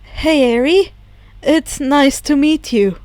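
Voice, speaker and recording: Woman playing a teenage boy, high-pitched, earnest, expressive, audiobook character. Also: slow, no room sound. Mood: gentle, reassuring. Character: teenage boy, excitable, earnest son of a villain.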